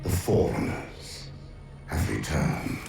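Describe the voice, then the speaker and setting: An actor playing an ancient, vengeful alien warlord in a video game, speaking in grandiose, contemptuous pronouncements. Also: male voice, slow, music in the background, lightly acted. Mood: menacing contempt. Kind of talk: dramatic video game villain acting. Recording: heavy cavernous echo, distant, loud score behind.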